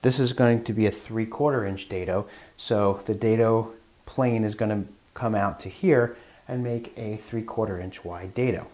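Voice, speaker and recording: A man's voice: fairly low pitch, normal speed, warm tone. A man hosting a woodworking show, explaining plainly as he works with hand tools in his shop. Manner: plain explanatory, talks while working. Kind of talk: hands-on tool demonstration.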